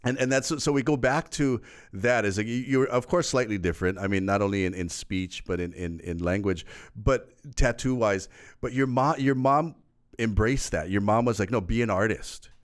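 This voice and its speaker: A man hosting an interview show, chatting casually and reacting eagerly to his guest. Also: lowish voice. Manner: eager, reacting with quick "wow" and "really". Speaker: show host.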